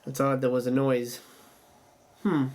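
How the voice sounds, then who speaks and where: A man's voice: medium pitch, flat delivery, a little slow, a little mellow. A man hosting an online show in a casual monologue, with theatrical, rambling showman patter. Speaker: YouTube show host.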